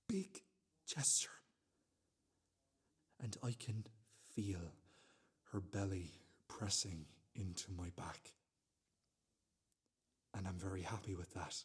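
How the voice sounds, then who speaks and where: An actor playing an anxious, self-justifying man in a radio play monologue, talking in a halting, repetitive, conversational way. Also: deep voice, slightly rough, heavily acted. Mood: quiet, tender.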